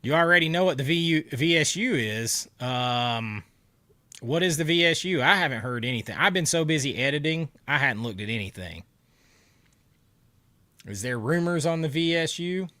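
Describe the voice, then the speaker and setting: A man's voice: fairly high pitch, expressive, moderate pace, bright, forceful tone, no room sound. A man hosting a casual livestream, congested and talking around a cough drop, chatting and laughing as he answers viewer comments. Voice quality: nasal from congestion. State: stuffy nose, sore throat. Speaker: livestream host. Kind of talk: casual live chat with viewers.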